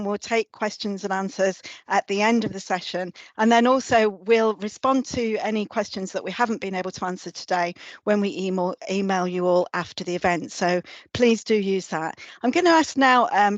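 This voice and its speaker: Woman hosting a webinar, bit gruff from the flu, talks steady w lots of ums, dry dull call audio. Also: medium pitch, no echo. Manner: measured, explanatory, with frequent "um"s. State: slightly gruff voice, recovering from flu. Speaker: webinar host, senior lecturer. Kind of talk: presenting a webinar.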